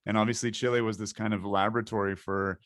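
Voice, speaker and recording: Man hosting a podcast, rambling and talkative, low voice, close dry sound. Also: flat delivery, quick pace, a bit bright, dry recording. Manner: rambling, talkative, thinks aloud. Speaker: podcast host. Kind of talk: podcast interview.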